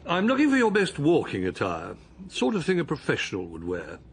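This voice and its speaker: An actor playing an earnest, gently awkward older man in a radio drama, hesitant at times. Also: fairly high pitch, huge pitch swings, fairly quick, slight background noise.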